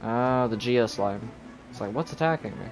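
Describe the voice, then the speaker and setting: A man's voice: mid-range voice, normal speed, music in the background. A man giving casual live commentary as he streams a video game.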